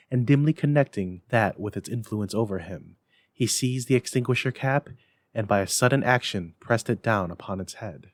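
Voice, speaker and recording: A man narrating a classic story as a dramatic reader, with expressive, emphatic delivery. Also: on the low side, no echo, studio quality. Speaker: library staff story reader.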